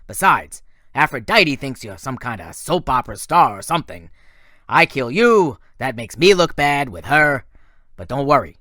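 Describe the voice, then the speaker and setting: A man voicing a brash, bullying god of war who talks loud and sneering. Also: mid-range voice, dry recording, studio quality. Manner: loud, sneering, tough-guy taunting.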